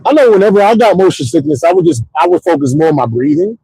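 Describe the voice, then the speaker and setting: A middle-aged man hosts a casual stream, swinging up and down through a high, mellow voice with a gravelly edge. He talks in a looping, motivational way, doubling back on his words and checking in with his listeners. The sound is close and dry. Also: quick pace, dry recording, low-quality recording. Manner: animated motivational rambling, repeats words, says "you know what I'm saying". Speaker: host. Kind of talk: casual livestream talk.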